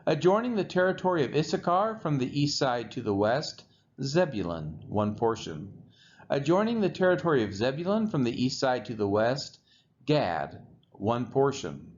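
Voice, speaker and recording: A man reading scripture aloud from the text in measured, separate phrases. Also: mid-range voice, sing-song. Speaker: scripture reader. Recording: muffled lo-fi audio with background noise.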